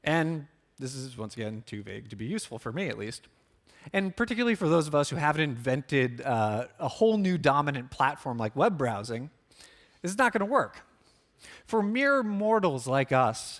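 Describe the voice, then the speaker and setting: A man with a fairly high voice speaks as a presenter at a business conference. He keeps an informal register, leaning on key words and dropping in casual asides as he explains things to an audience. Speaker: conference speaker, product marketer.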